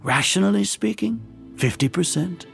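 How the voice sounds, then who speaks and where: An actor playing a haughty older grand sage, a cold scheming video game villain who talks in a pompous, condescending way. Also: male voice, high-pitched, lively intonation, normal speed, vocal fry, music in the background, full character voice. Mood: accusing.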